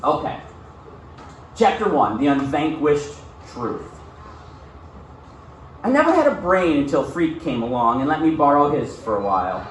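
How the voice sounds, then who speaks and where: A man's voice: fairly high pitch, big pitch swings, measured pace, long pauses. A male school teacher giving a classroom lesson in an instructional way, often checking in with short questions. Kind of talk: classroom lesson with read-aloud. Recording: echoey room, far from mic, faint noise.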